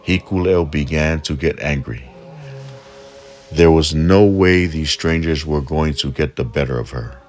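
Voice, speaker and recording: A man narrating a folk tale in a measured, deliberate storytelling manner. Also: a bass voice.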